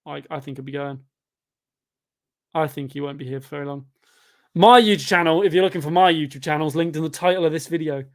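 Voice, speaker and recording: A twenty-year-old man from Devon with a Devon accent, chatting casually and rambling on a football watchalong stream, with sudden loud outbursts at chances. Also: fairly high pitch, fast, pauses often. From England. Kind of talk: casual live-stream chat reacting to a match and reading chat.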